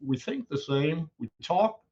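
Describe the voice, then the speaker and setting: A man's voice: a little high, animated, a little slow, mellow, slight background noise. Iranian-born man in his forties on a webcam. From Iran. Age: 40s. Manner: hesitant, restarting, self-deprecating. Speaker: caller. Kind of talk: live call-in discussion. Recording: lo-fi webcam audio.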